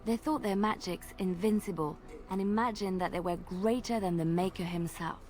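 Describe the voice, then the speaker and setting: A woman voicing a graceful female bard in a video game, telling tales in a measured, lilting way. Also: mid-range voice, darkish tone, close to an everyday voice. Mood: storytelling. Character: graceful female bard, gentle devout storyteller. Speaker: game character. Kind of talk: voiced game dialogue.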